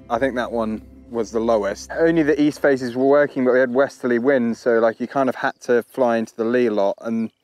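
A man's voice: medium pitch, a little mellow, dry recording. A man in his thirties giving casual, matter-of-fact first-person commentary as a paragliding vlogger, recorded outdoors on an action camera. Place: outdoors, in flight and in an open field. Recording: wind and outdoor noise.

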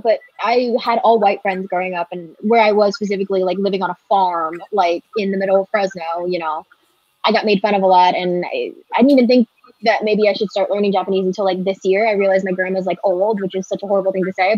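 A young woman talks casually into her laptop on a video call, full of "like" and loose asides. Her voice sits at a middle pitch. The sound is dry and a little dull, typical lo-fi webcam audio. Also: steady tone, fast talker, a little mellow, dry recording. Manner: chatty, filler-heavy, with frequent "like". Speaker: voice actor panelist. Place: home kitchen.

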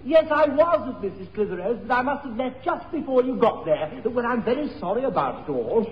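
Very high-voiced fussy gentleman. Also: very animated, fairly quick. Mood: apologetic, formal. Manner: prissy, flustered, formal. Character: fussy, polite, easily baffled gentleman. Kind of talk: 1960s radio sitcom acting. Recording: muffled echoey old radio, studio audience.

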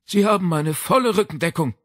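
A man's voice: high-pitched, animated, fairly quick, fairly bright tone, no room sound, clean studio sound, voice pushed up. A man voicing a brusque, commanding male police inspector who gives curt, bossy orders, as in an audiobook.

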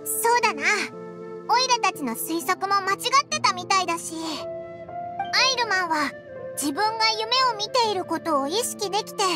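Tiny naive fairy, squeaky high voice, boyish cutesy talk, anime game, prominent music. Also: female voice, big pitch swings, moderate pace. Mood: puzzled. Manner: boyish, blunt, cutesy speech. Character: tiny childlike floating fairy companion, naive and eager. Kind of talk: Japanese anime game voice acting. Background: prominent background music.

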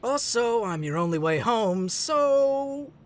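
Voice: mid-range voice, very animated, takes it slowly. An actor voicing a glib, unruffled, teasing young trickster in a comic dub. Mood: teasing, smug. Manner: breezy, glib, conversational. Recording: music behind.

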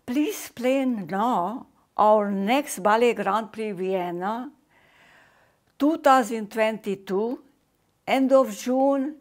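An older woman from Hungary with a Hungarian accent, giving a formal welcome speech in slow, halting English as a competition's artistic director, filmed indoors. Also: fairly high pitch, a little mellow. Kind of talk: formal video welcome address. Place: indoor room with painting behind.

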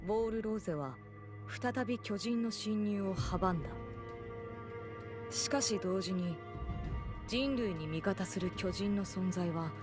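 A woman giving even, formal scripted narration for a game story. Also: mid-range voice, unhurried, long pauses. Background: game music.

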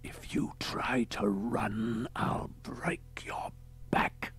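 A man's voice: on the low side, fairly even intonation, extremely slow, fairly bright tone, husky, pitched above the performer's own voice, heavily acted. A man voicing a huge male cult leader, a fervent, menacing zealot who exhorts like a preacher in a dramatized audiobook. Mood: whispered menace.

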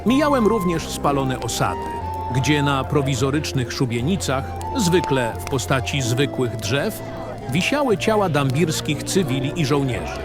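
A man's voice: mid-range voice, sing-song, unhurried, loud music behind the voice. A man giving a grave, dramatic first-person narration as a war-weary, cynical baron in a fantasy audio drama. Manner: grave, literary storytelling.

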